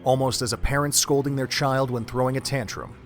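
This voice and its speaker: A man narrating a fan audio drama in a steady, measured storytelling manner. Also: mid-range voice, soft background music.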